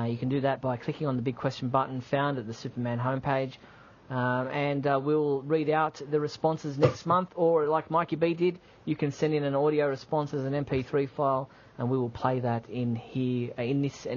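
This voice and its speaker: A man co-hosts a fan podcast in relaxed, teasing chat with many fillers. His voice sits at a middle pitch, and the recording is a bit dull. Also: slight background noise. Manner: friendly, teasing, uses fillers. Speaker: podcast co-host. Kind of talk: casual chat. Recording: slightly muffled podcast audio.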